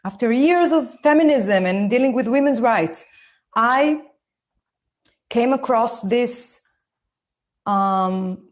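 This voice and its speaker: A woman in her forties from Israel with an Israeli accent, speaking slowly and carefully as she gives a live online talk to parents. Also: fairly high pitch. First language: Hebrew. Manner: slow, deliberate, and careful for her listeners. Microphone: phone.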